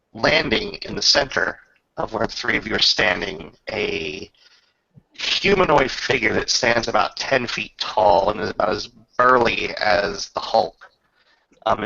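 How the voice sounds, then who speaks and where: Gravelly, forceful man running a game. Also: lowish voice, lo-fi sound. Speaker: game master. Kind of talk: tabletop roleplay narration and casual rules talk.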